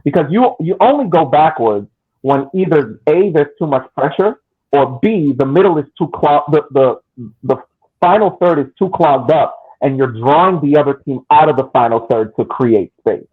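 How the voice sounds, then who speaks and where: A man's voice: fairly high pitch, lively intonation, normal speed, husky, narrow, tinny audio. A man co-hosting a soccer podcast, making long argumentative points in casual chat. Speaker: podcast co-host.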